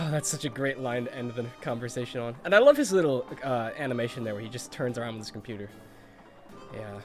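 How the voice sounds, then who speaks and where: A man's voice: mid-range voice, expressive, brisk, soft background music. A congested man with a cold giving chatty, nostalgic commentary while streaming a video game, laughing often.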